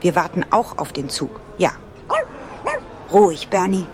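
A woman's voice: on the low side, measured pace, darkish tone. An actress playing a sardonic, prickly woman traveller in an audio drama, sarcastic and dismissive in manner. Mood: curt. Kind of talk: German audio drama dialogue.

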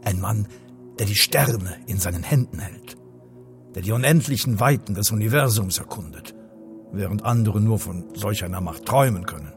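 An actor playing a pompous, macho spaceship commander in a German radio play, with grandiose, declamatory delivery. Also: male voice, on the low side, animated, moderate pace, soft background music. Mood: grandiose, reflective.

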